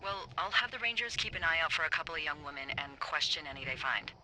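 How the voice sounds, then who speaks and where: An actress playing a wry, easygoing American fire lookout supervisor in a video game, talking conversationally and dryly over a walkie-talkie. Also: female voice, low-pitched, expressive, quick pace, sharp tone, full character voice. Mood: concerned. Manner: dry, teasing, conversational. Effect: walkie-talkie radio. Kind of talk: naturalistic video game dialogue. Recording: noisy radio with ambience.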